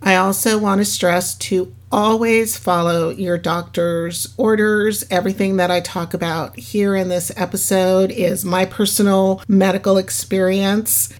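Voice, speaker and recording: A woman with a scratchy voice hosting her own podcast, talking on her own in a rambling, chatty way and laughing at herself. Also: fairly low pitch. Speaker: podcast host. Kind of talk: casual solo podcast monologue.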